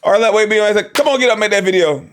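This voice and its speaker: A man's voice: high voice, very fast, slight background noise. A man hosting a reaction channel, commenting on a clip in a loose, profane, joking way.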